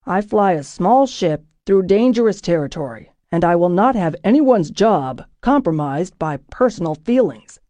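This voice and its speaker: A woman voicing a gruff, menacing ship captain. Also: mellow, dry recording. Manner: low, menacing, clipped commands. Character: stern, gruff middle-aged male ship captain. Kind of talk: audiobook character voice.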